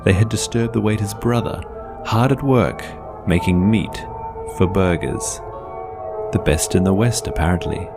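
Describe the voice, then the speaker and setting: Very deep-voiced man narrating horror, over music. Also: unhurried. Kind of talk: scripted dramatic narration of a horror tale.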